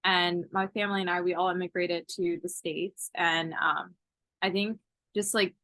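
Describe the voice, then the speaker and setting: A woman's voice: lowish voice, little pitch movement, normal speed, sharp tone, no echo. A woman in her twenties, a college student from Ethiopia raised in Texas, answering interview questions casually with lots of fillers. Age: 20s.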